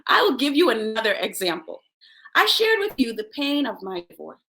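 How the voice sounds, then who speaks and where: Woman, high voice, creaky edges, motivational self-help host, emphatic storyteller, from Jamaica. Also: normal speed. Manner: emphatic, expressive, repeats key words for effect. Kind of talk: motivational talk with personal storytelling.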